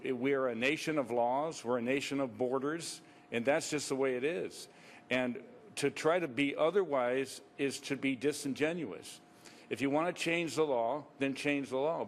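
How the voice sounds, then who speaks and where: A man, a preacher, making an extemporaneous political comment at a public meeting in an emphatic, disapproving manner. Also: mid-range voice.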